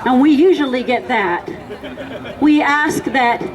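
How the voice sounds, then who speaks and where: High-voiced woman speaking at a rally. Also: slow pace, highs cut off, some chatter behind. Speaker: volunteer clinic organizer. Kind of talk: rally speech. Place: outdoor rally.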